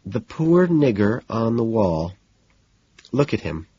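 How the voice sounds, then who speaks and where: A man voicing a composed, soothing woman in an audiobook, gently concerned and quietly amused, with soft questions. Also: mid-range voice, very animated, a little slow, slightly rough, voice pushed up. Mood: hesitant. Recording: dull, lo-fi, roomy sound.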